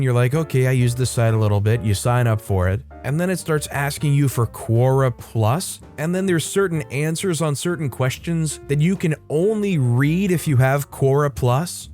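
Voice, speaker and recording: A young man casually narrating online stories, adding his own wry commentary between them. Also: medium pitch. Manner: chatty, wry, animated asides. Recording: faint music behind.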